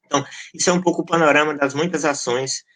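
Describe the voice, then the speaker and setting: A man's voice: a little high, steady tone, fast talker, fairly bright tone. A middle-aged Brazilian man, a pastor and social scientist, answering interview questions in an earnest, passionate way with emphatic lists and frequent restarts. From Brazil, born in Maranhão and raised in Goiás.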